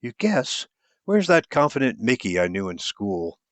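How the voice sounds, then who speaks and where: A man's voice: mid-range voice, very animated, unhurried, no echo. A man voicing an upbeat, teasing woman in an audiobook story, playful, insistent and coaxing. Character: upbeat, teasing woman planning a karaoke duet.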